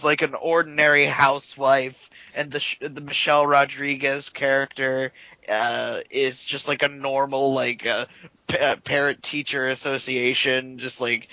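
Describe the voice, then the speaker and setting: A man's voice: mid-range voice, pushed and bright, dry recording, poor audio. A man co-hosting a casual gaming podcast, rambling and filling his sentences with "like". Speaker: podcast co-host. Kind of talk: casual chat.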